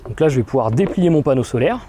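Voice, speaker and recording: A man's voice: mid-range voice, expressive, fast talker, noisy background. A man in his thirties casually explaining equipment outdoors beside a car, chatty with frequent fillers. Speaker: product demonstrator. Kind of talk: casual product demo.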